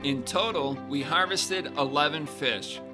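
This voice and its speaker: A man in his thirties hosts a fishing show, narrating each step in a calm, steady scripted voice pitched a little high. Plucked guitar music plays clearly under his voice. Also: a little slow. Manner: calm, steady narration, excited exclamations on the boat. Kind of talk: scripted narration and casual chat on a boat. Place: small boat on a freshwater pond, outdoors.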